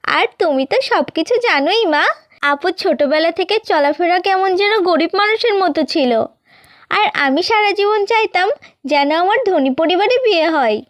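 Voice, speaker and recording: A woman's voice: very high voice, moderate pace, dry recording. A woman voicing a greedy, vain younger sister in a cartoon, bossy and brazen. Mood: brazen, selfish. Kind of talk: Bengali cartoon fairy-tale acting.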